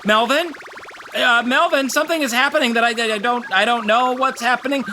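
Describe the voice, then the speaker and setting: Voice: medium pitch, brisk, bright, forceful tone, slight background noise, lightly acted. A performer in a comic audio play playing a hapless, melodramatic nerdy young guy. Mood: panicked. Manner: melodramatic, stammering. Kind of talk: audio play comedy acting.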